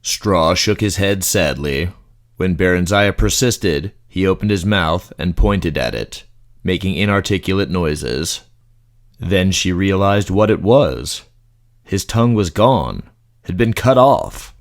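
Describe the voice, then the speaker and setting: A man's voice: deep voice, lively intonation, takes it slowly. A man reading scripted fantasy story narration as an audiobook narrator.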